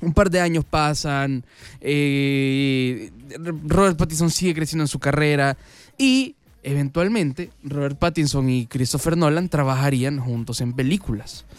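A man's voice: a little high, unhurried, clean tone, no room sound. A man with a Latin American Spanish accent hosts a radio show, telling stories in a casual, chatty monologue and repeating words for emphasis. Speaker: radio music show host. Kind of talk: casual conversational monologue, storytelling to the audience. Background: music.

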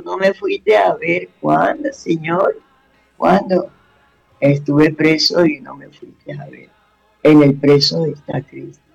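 Gravelly fervent radio host man. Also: a little high, darkish tone, rough recording. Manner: fervent, repeats blessings and phrases. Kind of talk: devotional radio talk and prayer.

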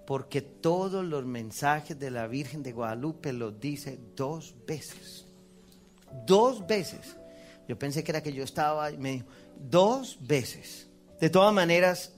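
A Colombian man with a somewhat high voice, preaching as a priest with a Colombian Spanish accent. He tells his testimony like a story, acting out the other people's lines and laughing at himself. Faint music plays behind him.

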